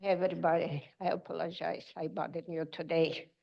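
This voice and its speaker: An older woman with a Ukrainian accent, speaking halting broken English as a tenant at a public council meeting, pleading for help. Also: on the low side, flat delivery, gravelly. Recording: muffled lo-fi meeting audio.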